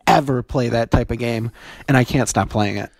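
A man's voice: mid-range voice, normal speed, gravelly, no echo, clean studio sound. A man in his twenties chatting casually as a podcast co-host from home. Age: 20s. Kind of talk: casual chat. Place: room at home on a video call.

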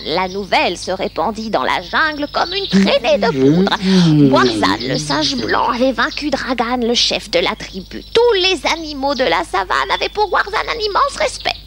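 A woman narrating a children's story in French with expressive storybook delivery. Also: fairly high pitch. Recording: clear background noise behind the voice.

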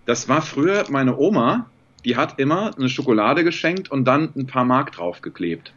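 A man in his forties, a voice actor as guest, talking reflectively over a video call from a room at home, pausing often with fillers. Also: mid-range voice, very animated, a bit bright, highs cut off. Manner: reflective, thinks aloud with many fillers and restarts. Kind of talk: casual video-call interview.

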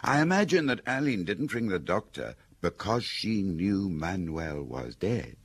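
Deep, husky, wry confidant. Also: male voice, big pitch swings, a little slow, fairly bright tone, no room sound, heavily acted. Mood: probing. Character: dry, probing male confidant of a detective. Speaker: actor in a radio drama. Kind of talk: radio drama acting, intimate dialogue.

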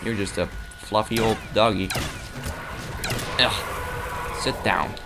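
Young guy chatting slow while he plays, jokes at himself, game noise under him. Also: fairly low pitch, steady tone. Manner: rambling, self-mocking, talks to the game. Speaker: gameplay commentator. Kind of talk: casual gameplay commentary. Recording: noisy game audio behind.

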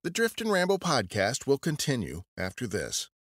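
A man's voice: mid-range voice, wildly swooping pitch, normal speed, a bit bright, no echo, studio quality. A man narrating a scripted history podcast as a storyteller.

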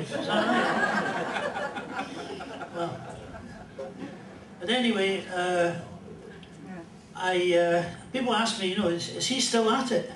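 An older Scottish man with a fairly high voice tells stand-up jokes in a dry, rambling way. He is picked up from a distance in a roomy club, with room noise clearly behind him. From Scotland. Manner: dry, rambling joke delivery with pauses. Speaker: comedian and musician. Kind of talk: stand-up storytelling. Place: small club stage in front of a band. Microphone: stand mic, filmed from a distant camera. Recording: roomy club sound from far off.

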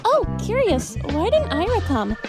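A woman's voice: extremely high pitch, huge pitch swings, unhurried, full character voice. A woman voicing a cute, cheerful little angel girl, sweet and encouraging, in a cartoon fandub. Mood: curious, cheerful. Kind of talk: anime-style cartoon fandub acting. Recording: music behind the voice.

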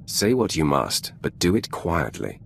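A deep, gravelly Sith Lord. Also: male voice, big pitch swings, slight background noise, heavily acted. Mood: calm, firm. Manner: measured, authoritative, imperious. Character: powerful male Sith Lord, cool, commanding and aloof. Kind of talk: video game dialogue.

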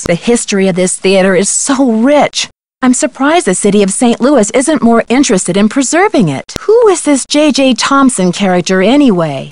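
A high, closely recorded young female detective for a mystery video game. She is earnest and determined, enunciating each question like someone set on getting answers. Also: no room sound.

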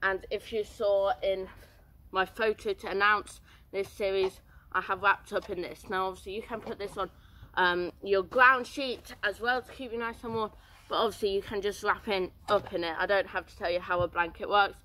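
A man's voice: high-pitched, fairly even intonation, moderate pace, pushed and bright, low-quality recording. A teenage boy, rambling casually through outdoor gear in a garden, with many fillers. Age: teens.

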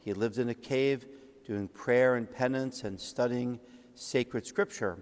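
A man's voice: mid-range voice, steady tone, unhurried, a little mellow. Man preaching in a reverberant church. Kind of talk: homily on a saint's life, with readings from writings. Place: large church sanctuary, at the ambo.

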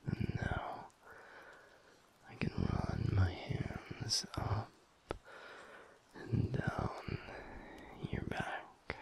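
A man playing a teasing, tender young alpha werewolf boyfriend in an intimate ASMR audio roleplay, murmuring in a hushed, breathy way. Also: low voice, full character voice. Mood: tender, soothing.

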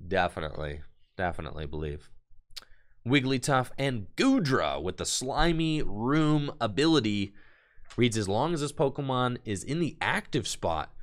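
A man opening card packs on a live stream, reading card text aloud and hyping his pulls for chat, his voice going hoarse. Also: medium pitch, a bit bright. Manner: enthusiastic, hype-building, reads card text aloud. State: losing his voice.

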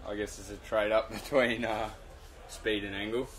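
A man's voice: on the low side, level tone, normal speed, low-quality recording. A young man giving brief, matter-of-fact answers about sailing. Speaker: sailor answering a question.

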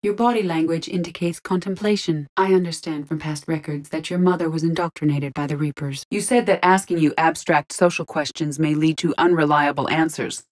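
A woman voicing a calm, logical, curious female artificial intelligence in a video game, with precise, measured, formal delivery. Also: deep voice, natural voice. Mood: composed, analytical. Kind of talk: video game character voice lines.

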